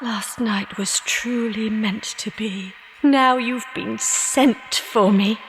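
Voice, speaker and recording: Slow, intense romantic young lover. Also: female voice, on the high side, steady tone, faint music behind. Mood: rapturous. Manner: declarative, romantic, theatrical. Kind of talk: poetic audio drama acting.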